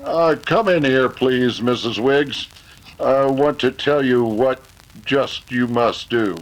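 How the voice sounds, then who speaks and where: An actor playing a commanding, steady masked western hero with a formal manner, in 1930s radio drama style. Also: male voice, medium pitch, lightly acted, rough recording. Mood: calm, commanding. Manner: formal, deliberate.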